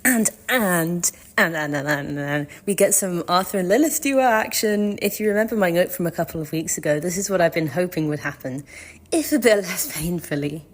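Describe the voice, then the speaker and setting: A woman's voice: fairly low pitch, lively intonation, moderate pace. A woman leaving a casual fan voice message, gushing and swearing freely. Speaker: listener sending a voice message.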